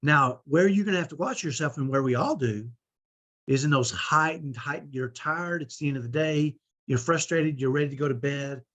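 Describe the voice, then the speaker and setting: A middle-aged man with a strong, powerful voice, coaching parents in an energetic, emphatic way and often checking in with "right?". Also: fairly high pitch, moderate pace. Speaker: host.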